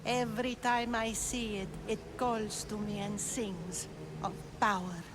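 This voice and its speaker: Game cutscene cultist woman actor: stern, imperious, unhurried, noisy ambience. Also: mid-range voice, level tone, close to an everyday voice. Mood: entranced. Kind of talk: dramatic game cutscene acting. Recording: clear background ambience noise.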